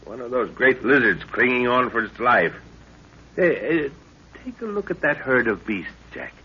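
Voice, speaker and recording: A man's voice: mid-range voice, sing-song, moderate pace, husky. An actor playing an eager, authoritative middle-aged scientist explorer and father in a 1930s radio adventure. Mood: absorbed, eager. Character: Lorna's father, excitable older scientist explorer. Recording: scratchy muffled 1930s radio recording.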